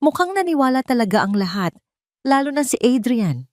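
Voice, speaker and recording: A woman's voice: sits high, big pitch swings, a little fast, darkish tone, dry recording, clean studio sound, pitched above the performer's own voice. A woman voicing a scheming female villain, mocking and triumphant, in an audio story. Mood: gloating.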